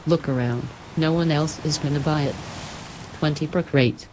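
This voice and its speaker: A woman's voice: deep voice, moderate pace, darkish tone, slight background noise, close to an everyday voice, rough recording. A woman voicing a bossy, arrogant red-headed female ship captain who speaks curtly. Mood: commanding. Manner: commanding, curt.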